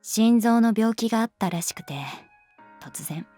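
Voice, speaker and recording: Slow-talking, calm, guarded woman teacher acted out. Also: a little high, soft background music, close to an everyday voice. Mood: wistful, regretful. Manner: unhurried, soft-spoken, frank. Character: adult female homeroom teacher, calm, guarded, gently detached. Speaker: voice actress. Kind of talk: Japanese anime-style audio drama acting.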